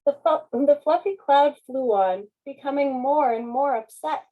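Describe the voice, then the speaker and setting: A woman's voice: high-pitched, a little slow, phone-quality sound. A woman, a children's author, speaking at a public reading of a picture book. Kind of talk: slow storybook narration.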